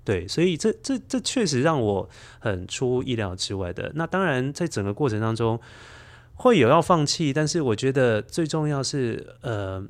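A man's voice: medium pitch, sing-song, moderate pace. A middle-aged man with a Taiwanese accent, a storyteller speaking as an interview guest and sharing personal anecdotes in conversation.

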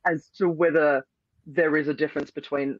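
A man's voice: on the high side, fairly even intonation, moderate pace, no room sound, highs cut off. A man, a hypnosis and NLP practitioner, giving earnest, flowing answers as an interview guest. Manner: earnest, repeats "beautiful" and "powerfully", flowing explanations.

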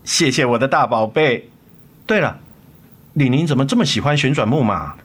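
A man acting a remorseful, devoted middle-aged father in a radio drama, gentle, tender and coaxing. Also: mid-range voice, animated, moderate pace, breathy edge. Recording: music under the voice.

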